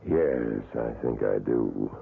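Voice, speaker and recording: A man acting a grave, knowing confidant in an old-time radio drama, with a very deep, gravelly voice and a measured, ominous manner. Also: slight background noise, full character voice. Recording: tinny, band-limited old radio recording.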